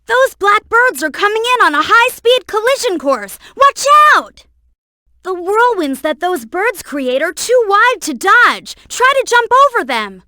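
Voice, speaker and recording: A woman voicing a young boy fox sidekick in a video game, earnest, helpful and excitable, with clear childlike delivery. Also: very high-pitched, unhurried, dry recording, clean studio sound, heavily acted. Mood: alarmed, urgent. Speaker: video game character giving hints. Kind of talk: game voice acting, tutorial hints and alerts.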